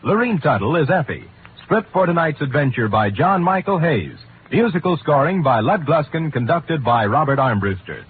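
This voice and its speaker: A man's voice: on the high side, big pitch swings, normal speed, hardly any pauses, slight background noise. A man announcing formally and declamatorily in the scripted style of 1950s network radio. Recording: tinny old radio broadcast, faint music.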